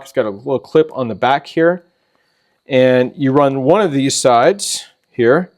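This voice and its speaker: A man hosting a casual product walkthrough, talking in an informal, halting and rambling way. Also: mid-range voice, a little mellow. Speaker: host of a preparedness channel.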